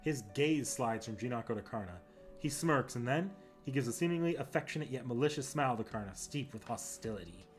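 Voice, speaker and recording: A man's voice: medium pitch, expressive, fairly quick. A man reading a game's story narration aloud, with music playing behind him. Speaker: game narrator, club member.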